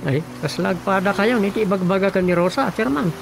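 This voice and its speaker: Creaky-voiced Ilocano family man. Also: sits high, fairly quick. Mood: teasing. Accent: Filipino, Ilocano. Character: Mang Doming, plain-spoken helpful Filipino family man. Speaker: radio drama actor. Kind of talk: comic radio drama acting. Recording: radio drama with music underneath.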